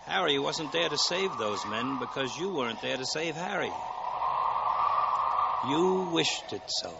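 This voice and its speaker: A solemn guardian angel in a 1940s radio drama. He is commanding and theatrical, with a gravelly edge and widely swinging pitch. The recording is old and noisy. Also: lively intonation, measured pace, lo-fi sound. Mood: accusing, relentless. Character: stern guardian angel showing a grim vision.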